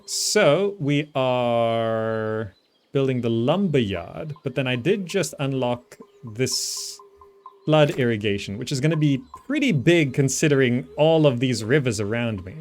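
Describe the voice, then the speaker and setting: A man's voice: mid-range voice, lively intonation, takes it slowly, faint music behind. A man giving casual gameplay commentary, thinking aloud and repeating himself as he works things out. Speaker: gamer, channel host.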